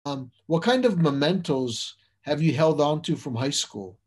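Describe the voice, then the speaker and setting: A man interviewing a guest, speaking slowly and encouragingly, asking gentle questions. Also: a little high, lively intonation, husky. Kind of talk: oral history interview.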